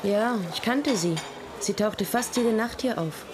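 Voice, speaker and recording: A woman's voice: a little high, measured pace, noisy background, close to an everyday voice. An actress playing a wry, frank, streetwise woman in a German radio play.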